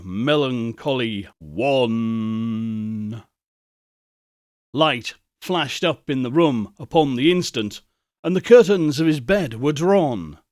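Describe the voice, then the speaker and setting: A man narrating a classic tale as a dramatic storyteller, with theatrical, deliberate and emphatic phrasing. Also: medium pitch, no echo, clean studio sound. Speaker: audiobook narrator.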